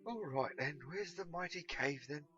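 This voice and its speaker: A man's voice: fairly high pitch, normal speed, pushed and bright, lo-fi sound, a little hiss or hum. A man voicing an impatient, bossy young boy who talks in a colloquial, exasperated way, in a storybook reading.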